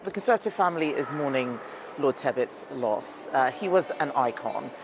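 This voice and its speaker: A politician with a mid-pitched voice gives a tribute to the press. It is recorded outdoors with clear traffic-like noise behind. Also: animated, normal speed, a crowd in the background.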